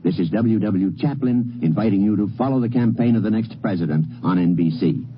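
A man's voice: lowish voice, fairly quick. A man working as a news correspondent, reading a scripted 1950s radio promo. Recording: dull, faintly noisy old radio recording.

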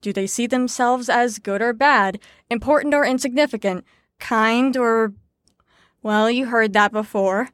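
An actress playing a brisk, sarcastic teacher in an audio drama, lecturing in an articulate, wry way. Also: female voice, fairly high pitch, a bit bright, no room sound, studio quality. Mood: wry, impatient. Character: brisk, sarcastic female teacher explaining psychology theories. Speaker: lecturer leading a group lesson.

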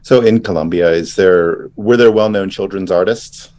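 A man's voice: sits low, normal speed, darkish tone. A man hosting a podcast, opening with a scripted, upbeat introduction and asking interview questions. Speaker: podcast host.

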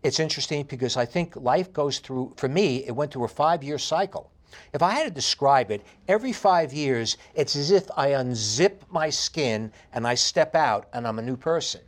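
A man in his fifties with a heavy New York accent, telling his life story in an interview with animated emphasis. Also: mid-range voice, normal speed. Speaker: interview guest. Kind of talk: candid conversational interview.

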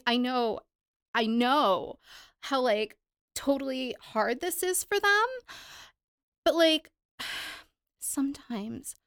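Actress as a young inmate, valley-girl slang, high gravelly voice, dry close sound. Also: a bit bright, no echo, heavily acted. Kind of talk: audio play acting.

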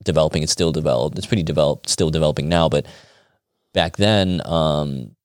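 American male podcast guest, deep voice, dry close sound, colloquial raconteur who voices both sides of a conversation. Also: a little fast, dry recording. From United States. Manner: animated, colloquial, acting out quoted dialogue. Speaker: interview guest, acupuncturist. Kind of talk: casual conversational storytelling.

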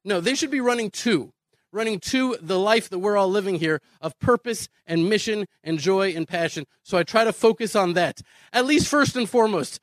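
American male advocate, high voice, dry close sound; impassioned, emphatic public speaking. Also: moderate pace, no echo. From Houston, Texas, United States. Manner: earnest, emphatic, repeats phrases, rhetorical questions. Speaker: speaker advocating immigration to Israel. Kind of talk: impassioned conference speech.

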